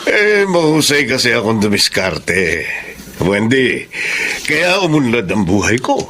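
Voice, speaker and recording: Rich lovestruck bachelor, Filipino accent, boastful, insistent, swooping intonation, faint noise. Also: male voice, medium pitch, big pitch swings, measured pace, bright, forceful tone. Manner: self-satisfied, insistent. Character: boastful, lovestruck wealthy bachelor, smooth schemer. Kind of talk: Filipino radio drama acting.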